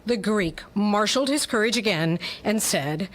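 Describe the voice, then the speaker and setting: A woman's voice: mid-range voice, animated, normal speed. A woman reading scripted narration for a radio crime drama as its storyteller.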